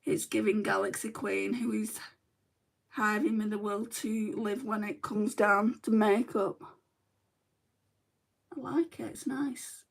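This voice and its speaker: A woman's voice: medium pitch, fairly even intonation, slow pace, creaky, pauses often. A middle-aged woman with a northern English accent, chatting casually through a makeup livestream, reading out comments and muttering to herself as she works. She says she is in back pain. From northern England. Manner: chatty, self-deprecating, mutters to herself while working.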